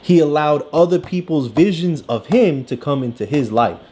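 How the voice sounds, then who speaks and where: Very deep-voiced woman coaching a child. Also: lively intonation, moderate pace, mellow, a little hiss or hum. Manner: encouraging, lecturing, frequent "right?" check-ins. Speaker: parent discussing a picture book with a child. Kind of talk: casual coaching talk about a story.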